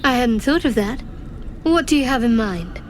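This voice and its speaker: A guarded young adventurer woman from a fantasy game, played by an actress, has a slightly high voice. She talks in a dry, casual, understated way with wry reserve. Faint noise runs underneath. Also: animated, moderate pace, close to an everyday voice. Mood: wry, softening.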